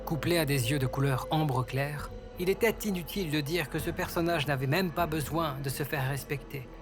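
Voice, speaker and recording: A man's voice: on the high side, normal speed. A man reading scripted narration for a French fantasy audio story. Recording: loud music under the voice.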